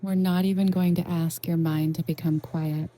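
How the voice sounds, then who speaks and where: A woman leading a guided meditation in a gentle, reassuring, unhurried way with long pauses. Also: on the low side, flat delivery. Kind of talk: soothing guided meditation narration.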